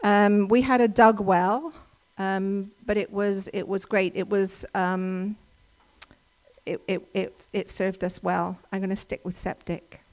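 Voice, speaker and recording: A woman's voice: mid-range voice, flat delivery, takes it slowly, darkish tone. English woman, workshop presenter, chatty and explanatory, lots of restarts. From England. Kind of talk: informal church workshop talk with slides. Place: church hall.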